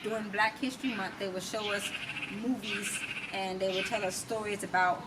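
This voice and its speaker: A noisy, distant-miked recording of an American woman in conversation. She has a medium-pitched voice and speaks emphatically and reflectively, setting out her story in short, deliberate phrases as an interview guest. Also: fairly even intonation. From United States. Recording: distant mic, clearly noisy background.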